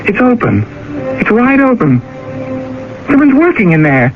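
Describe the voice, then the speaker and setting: An actor playing a tormented, exhausted young former student in a radio drama, speaking in a halting, anguished way. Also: male voice, extremely high pitch, big pitch swings, soft background music, full character voice. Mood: agitated. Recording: muffled, very noisy vintage radio recording.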